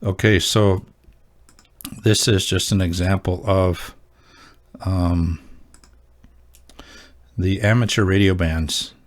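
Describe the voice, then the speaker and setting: A man's voice: low-pitched, steady tone, takes it slowly, pauses often. A man hosting a hobby video, explaining and demonstrating gear in a casual, rambling way as he thinks aloud. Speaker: host of an amateur radio hobby channel.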